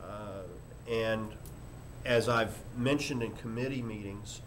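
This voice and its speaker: An older man, a school superintendent, giving a formal report at a board meeting in a halting, measured way with frequent pauses. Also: fairly low pitch, fairly even intonation, fairly bright tone. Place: indoor board meeting room. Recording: noisy meeting room microphone.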